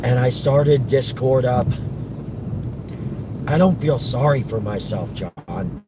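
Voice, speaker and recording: A man with a worn-out, hoarse voice chatting haltingly to his stream viewers while driving. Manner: halting, restarts often, defensive. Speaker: live streamer answering chat. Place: in a moving car. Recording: loud car road noise. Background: road and engine rumble.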